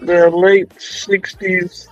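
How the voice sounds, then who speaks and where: A man in his thirties chatting on a stream about his toy collection, excited and rambling with lots of restarts. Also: sits high, extremely slow, muffled recording. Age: 30s. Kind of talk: casual chat.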